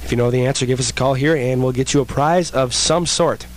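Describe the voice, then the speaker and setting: A man's voice: mid-range voice, fast. Joking young male radio co-host. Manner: joking, babbling, teasing. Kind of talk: casual college radio banter. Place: radio studio. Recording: old radio aircheck with faint hiss.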